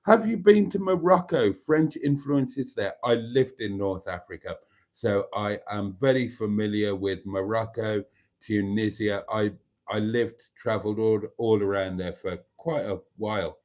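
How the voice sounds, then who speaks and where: An older man from Wales, with Welsh as his first language, chats slowly and at length as he answers comments on his live stream. His voice sits low, and he drifts from point to point with dry, wry asides. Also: darkish tone. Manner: chatty, rambling, wry asides. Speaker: livestream host. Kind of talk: casual live chat reading comments.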